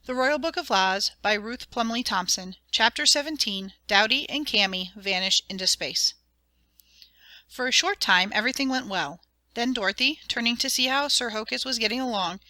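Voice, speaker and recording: A woman reading a children's audiobook as narrator, in an expressive, dramatic and clearly enunciated storytelling style. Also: a little high, dry recording.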